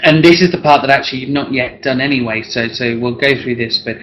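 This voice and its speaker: A hoarse man who is losing his voice talks through an assessment plan one-to-one as a work-based assessor. He clears his throat often and speaks haltingly, with frequent tag questions. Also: mid-range voice, a bit bright. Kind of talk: one-to-one assessment planning talk. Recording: dull lo-fi recording.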